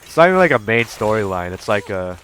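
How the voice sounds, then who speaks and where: A man's voice: lowish voice, big pitch swings, quick pace, fairly bright tone, a little hiss or hum. A man giving casual commentary while playing a video game as a streamer.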